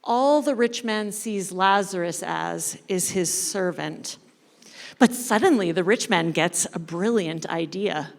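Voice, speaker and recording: Slow-speaking woman storyteller in a hall. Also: lowish voice, gravelly. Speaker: church storyteller. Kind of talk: dramatic narrated reading to a congregation. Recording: echoey hall with long reverb.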